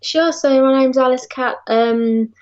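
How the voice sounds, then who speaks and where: A woman's voice: high voice, unhurried, darkish tone. A woman with an English accent, a singer-songwriter answering interview questions over a call in a friendly, chatty way. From England. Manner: friendly, laughs often, uses fillers. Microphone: remote call. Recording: dull lo-fi call audio.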